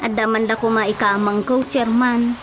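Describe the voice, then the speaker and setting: A polite, troubled village woman with an Ilocano accent , played by an actress, speaks deferentially in a high voice. It is radio drama acting over prominent music. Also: flat delivery, moderate pace, natural voice. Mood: worried.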